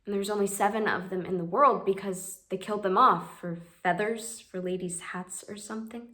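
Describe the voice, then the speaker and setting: A woman's voice: mid-range voice, fairly even intonation, normal speed, sharp tone, close to an everyday voice. A woman performing a monologue as a plainspoken young woman haunted by a cruel memory, telling it in simple words. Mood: wistful. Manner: plainspoken storytelling, builds to screams. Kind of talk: audition monologue.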